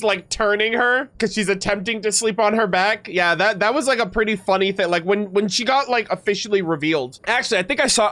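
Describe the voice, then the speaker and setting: A young woman giving casual, excitable, slangy commentary to a chat as a game streamer. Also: medium pitch, dry recording. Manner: excitable, slangy, full of likes. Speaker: streamer reacting to game voice lines.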